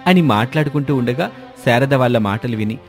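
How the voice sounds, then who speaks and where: A man's voice: mid-range voice, expressive, a little fast. A man reading a scripted story as its narrator, with music behind him.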